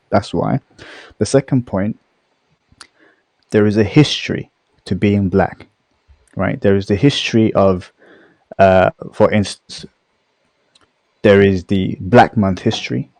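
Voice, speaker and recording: A man's voice: fairly low pitch, dark tone, no echo. A man on a voice chat, explaining his view step by step and checking in with "right?" and "okay?". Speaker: guest debating identity. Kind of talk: online voice-chat debate.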